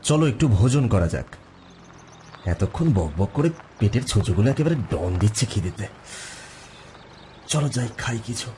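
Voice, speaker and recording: A man's voice: lowish voice, big pitch swings, moderate pace, long pauses, mellow, a little hiss or hum. A man playing a witty, food-loving detective in an audio drama, talking conversationally and thinking aloud. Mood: playful, brisk. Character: witty food-loving married male detective, shrewd and probing.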